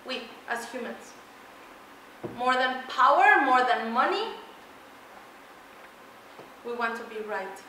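A middle-aged woman from Mexico giving an energetic, emphatic motivational talk to students, laughing often as she walks around. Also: on the high side, takes it slowly, long pauses, a little hiss or hum. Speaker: keynote speaker. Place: large lecture hall. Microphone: far room pickup. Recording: echoey hall from far off.

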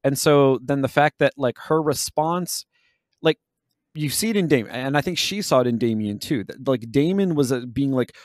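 A man's voice: medium pitch, normal speed, no echo. Man in analytical podcast discussion, dry sound. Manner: hesitant, searching for words with self-corrections. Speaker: podcast co-host. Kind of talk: analytical discussion of a video game.

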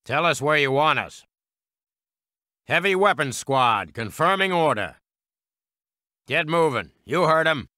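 An actor playing a gung-ho American World War Two heavy weapons soldier in a strategy game, with a clipped military delivery. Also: male voice, on the high side, expressive, a little slow, long pauses, pushed and bright, no room sound, studio quality. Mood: confident, brisk.

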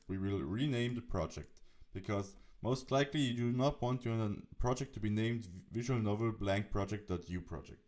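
A man narrating an instructional software tutorial, explaining steps as the presenter. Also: on the low side.

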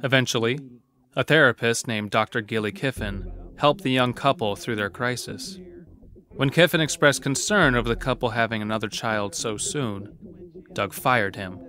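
A man narrating a dark horror audio drama as its storyteller. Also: mid-range voice, normal speed, natural voice. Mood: grave storytelling.

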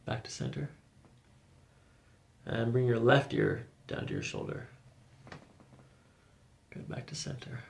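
Gravelly middle aged chiropractor guy, soothing short instructions, lots of fry, bit of background noise. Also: mid-range voice. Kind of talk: calm hands-on ASMR treatment talk, instructing a patient. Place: small carpeted treatment office, indoors. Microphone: microphones on boom stands near the table.

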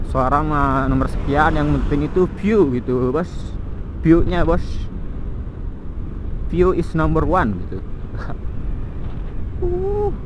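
A young man, chatting casually while riding a motorbike on a mountain road, rambling in slangy banter with a nervous first-vlog manner. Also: a little high. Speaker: motovlogger. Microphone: helmet-mounted action camera. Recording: loud road noise from riding. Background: traffic and vehicle noise.

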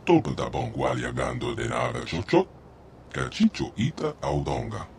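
An actor playing a stubborn alien guide in a video game, speaking an invented tongue in an emphatic singsong. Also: male voice, extremely low pitch, huge pitch swings, normal speed, vocal fry, a little mellow, slight background noise, full character voice. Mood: insistent.

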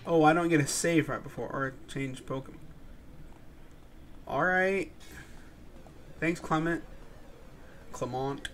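A man's voice: mid-range voice, a bit bright, a little hiss or hum. A young man with a stuffy voice and a sore throat, chatting casually and muttering in frustration as he plays through a game. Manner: laid-back, swearing, frustrated muttering. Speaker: gaming channel host. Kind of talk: casual let's-play commentary. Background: game music.

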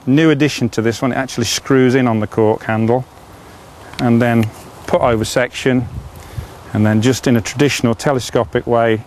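A man's voice: mid-range voice, fairly even intonation, moderate pace, a little mellow, a little hiss or hum. Chatty man showing fishing rods. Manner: enthusiastic, chatty, frequent "uh" fillers. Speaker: fishing tackle presenter. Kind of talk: product demonstration.

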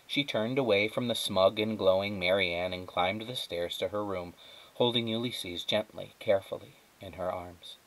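Low-voiced man reading a storybook aloud. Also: moderate pace, fairly bright tone, poor audio. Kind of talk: children's storybook read-aloud.

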